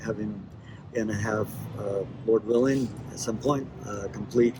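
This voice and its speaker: A man from Ecuador speaking casually as a missionary in an interview, haltingly searching for words. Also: lowish voice, fairly even intonation, background noise. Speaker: missionary and Bible translator. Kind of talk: casual promo video interview.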